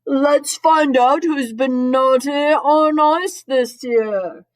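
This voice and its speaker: A woman voicing a jolly, excitable Santa Claus in a children's storybook, with booming, drawn-out exclamations. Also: poor audio. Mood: playful, hearty. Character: jolly, excitable Santa Claus, old man trying hats. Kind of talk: children's storybook read-aloud.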